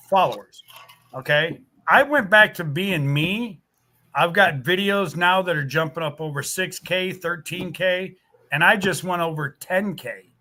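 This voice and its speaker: A man's voice: high voice, bright, forceful tone. A man speaking emphatically and directly to listeners in a casual, motivational livestream chat. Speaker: YouTube creator, livestream co-speaker.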